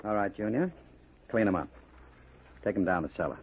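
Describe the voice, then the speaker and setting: An actor playing a ruthless gangster boss in a 1950s radio drama, mocking, commanding and coolly sinister. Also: male voice, fairly low pitch, expressive, fairly quick, a little hiss or hum, close to an everyday voice. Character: ruthless, taunting gangster boss with smooth cruelty. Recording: dull vintage radio recording.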